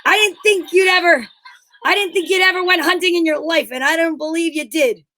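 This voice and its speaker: A woman's voice: very high-pitched, fairly quick, pushed and bright. A woman playing an exasperated, bossy straight man in an old-time radio comedy sketch, scolding and questioning with disbelief.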